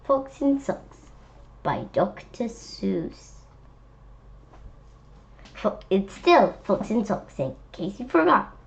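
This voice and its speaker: A woman's voice: mid-range voice, sing-song, slow pace, gravelly, long pauses. A woman reading a children's book aloud, adding chatty, playful asides and speaking directly to young listeners.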